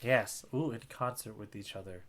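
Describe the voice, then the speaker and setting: A man's voice: on the low side, moderate pace, a crowd in the background. A man co-hosting a podcast, chatting in a casual, jokey way about comics. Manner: jokey, playful. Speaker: podcast co-host. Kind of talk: casual chat about manga panels.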